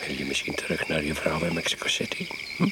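An actor in a radio play playing an overbearing, eccentric older husband who is pushily hospitable and faintly menacing. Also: male voice, rumbling low, fast talker. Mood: pushy, unyielding. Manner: domineering, capricious, interrupting. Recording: noisy radio play recording.

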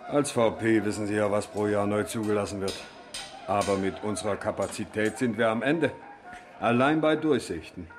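Garage boss, male actor, radio crime play: lowish voice, brusque, gruffly loyal, plain-spoken gossip. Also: moderate pace, close to an everyday voice. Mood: matter-of-fact. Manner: brusque, colloquial. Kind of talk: 1970s radio crime drama acting.

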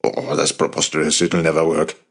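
A man voicing a skeptical, indignant male resistance commander in an audiobook, objecting forcefully and with disbelief. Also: very deep voice, completely flat delivery, brisk, breathy edge, voice pushed down, exaggerated voice. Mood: scornful.

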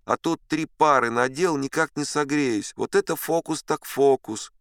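An actor voicing a whining, griping sailor, a comic complainer in an audio story. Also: male voice, a little high, measured pace, a bit bright, clean tone, dry recording, clean studio sound, pitched above the performer's own voice. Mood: whining complaint. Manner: plaintive, griping.

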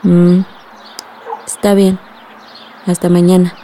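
An actress playing a wary, affectionate young girlfriend in a radio drama, speaking in a soft, fretful way. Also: on the low side, little pitch movement, takes it slowly, slight background noise. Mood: suspicious.

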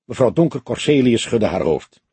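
A man reading a crime story as an expressive audiobook narrator. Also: mid-range voice, normal speed, husky, dry recording.

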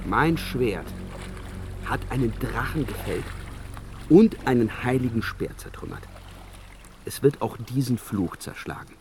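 An actor playing a naive, boastful young dragon slayer in a fantasy radio play, swaggering and defiant. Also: male voice, medium pitch, animated, a little slow, long pauses, a little mellow, soft background music, natural voice.

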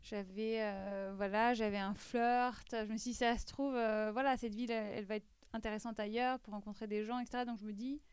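A woman's voice: fairly high pitch, fairly even intonation, brisk, few pauses, clean tone, dry recording. A woman in her thirties telling a personal story as an interview guest, hesitant with frequent restarts and fillers.

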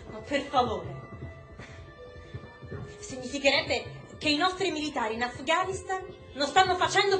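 A high female voice plays a skeptical woman researcher in a stage drama. She is indignant and probing. The room echoes and music plays clearly underneath. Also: a bit bright. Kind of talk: stage monologue in a radio broadcast play. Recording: roomy echo with music underneath.

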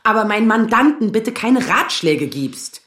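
A woman's voice: a little high, lively intonation, moderate pace, a bit bright, roomy sound. An actress playing an overworked lawyer daughter in her thirties, controlled but exasperated, in an audio drama. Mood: strained calm. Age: 30s. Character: overworked 35-year-old lawyer daughter, strained but restrained. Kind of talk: acted German audio drama dialogue.

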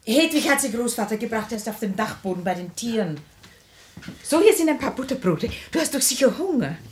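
A woman's voice: a little high, big pitch swings, a little fast, a little hiss or hum. An actress playing an anxious, caring wife and mother in a radio play, fussing solicitously. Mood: fussing, coaxing. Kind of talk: German radio play acting.

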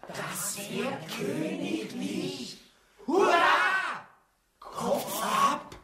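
Shrill, high-pitched shrew. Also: female voice, big pitch swings, extremely slow, over a noisy crowd, full character voice. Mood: theatrical outrage. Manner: scolding, theatrical. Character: shrewish, bossy, foul-mouthed, ambitious wife. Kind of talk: farcical radio play acting.

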